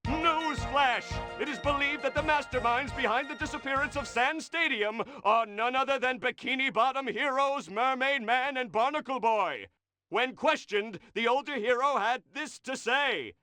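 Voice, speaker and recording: A man's voice: very high voice, moderate pace, bright, forceful tone, no room sound, faint music behind. A man voicing a cartoon TV news reporter with a formal, announcer-like delivery in a game cutscene. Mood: urgent, announcing. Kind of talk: newscast parody in cartoon game.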